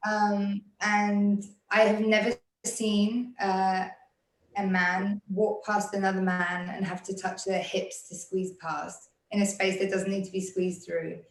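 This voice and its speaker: A woman artist speaks on a live panel in a flat, even voice that barely rises or falls. Her words ring out in a roomy, echoing space. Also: medium pitch, slow pace. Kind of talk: panel discussion at a live event.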